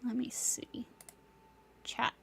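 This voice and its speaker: A woman live-streaming a game, greeting her chat by name and pleading with her streaming software when it will not work. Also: very slow, slight background noise.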